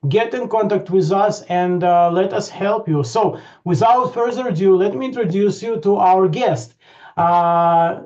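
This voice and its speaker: A man hosting an online webinar over a video call, talking haltingly with many fillers and restarts. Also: sits high, a little mellow. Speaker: webinar host. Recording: dull lo-fi call audio.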